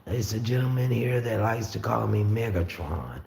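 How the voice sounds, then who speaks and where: A raspy-voiced man from Florida hosting a casual livestream, talking easily and reading out viewer comments. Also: lowish voice, animated, moderate pace, a little mellow. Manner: rambling, easygoing, frequent fillers and restarts. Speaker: livestream host. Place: room at home with the windows open. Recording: roomy echo with faint street noise.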